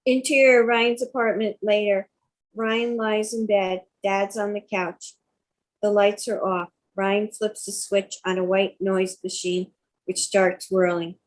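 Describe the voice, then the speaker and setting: A woman's voice: medium pitch, little pitch movement, takes it slowly, a little hiss or hum, rough recording. A woman reading stage directions as scripted narration for a table read.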